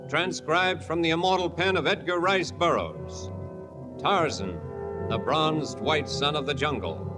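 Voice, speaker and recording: A man's voice: on the high side, natural voice. A man playing the grand, declamatory announcer of a 1950s radio adventure serial. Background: dramatic music.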